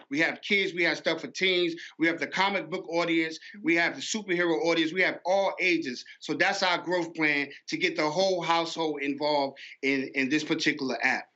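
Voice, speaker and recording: Bright, higher-pitched man with dull audio. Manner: repeats words and phrases. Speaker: guest promoting an animation platform. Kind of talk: interview conversation.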